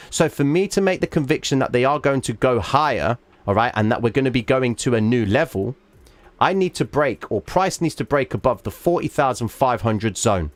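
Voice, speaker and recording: A man's voice: mid-range voice, no room sound. A man hosting a live trading stream, walking viewers through a chart in an emphatic way and often checking in with "all right?", while losing his voice. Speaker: trading livestream host.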